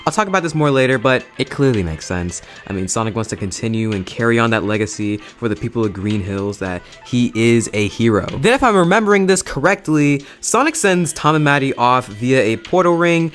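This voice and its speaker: Animated young man reviewing over music. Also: medium pitch, fairly quick, hardly any pauses. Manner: enthusiastic, emphatic, slangy. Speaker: YouTube reviewer. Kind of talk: casual video movie review.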